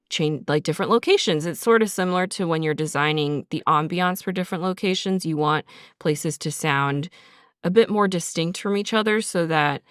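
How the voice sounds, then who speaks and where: A woman's voice: on the low side, fairly bright tone, smooth, no echo, clean studio sound. A woman podcast host talking as a sound designer, explaining methodically and conversationally with examples. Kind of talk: behind-the-scenes podcast discussion, explanatory.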